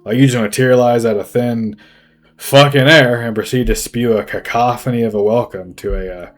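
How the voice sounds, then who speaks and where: Crass dead cartoon fish, male actor: strained throat, sarcastic, halting rambles, swearing, music behind. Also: medium pitch, fairly even intonation, lightly acted. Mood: irritated. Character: crass, rambling recently deceased fish, foul-mouthed and sarcastic. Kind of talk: loose improvised comic character acting. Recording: music under the voice.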